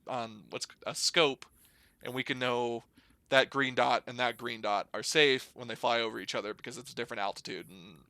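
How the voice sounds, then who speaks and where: Bright-voiced American man, informal and rambling. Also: mid-range voice, no room sound. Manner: informal, rambling, hedging with slang. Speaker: podcast guest, air traffic controller. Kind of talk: casual conversational storytelling and explanation.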